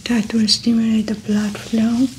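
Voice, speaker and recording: Young woman whispering slow and flat, like a doctor doing a calm exam, asmr vibe, faint hiss behind. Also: a little high, low-quality recording. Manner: soft whispered clinical narration. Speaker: ASMR creator playing a doctor. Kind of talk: ASMR medical roleplay. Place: small indoor studio set.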